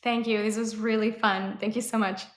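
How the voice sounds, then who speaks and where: A woman's voice: mid-range voice, level tone, moderate pace. A woman from Mexico, a native Spanish speaker, talking casually as an interview guest and startup founder. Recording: roomy, echoey sound.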